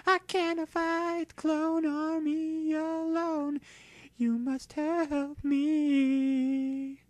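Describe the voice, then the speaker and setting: Shrill, slow-talking psychic creature. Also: male voice, monotone, a little mellow, no echo, full character voice. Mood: solemn. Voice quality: shrill, strained falsetto. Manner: slow, drawn-out speech. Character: Mewtwo, solemn psychic creature, former enemy of humans.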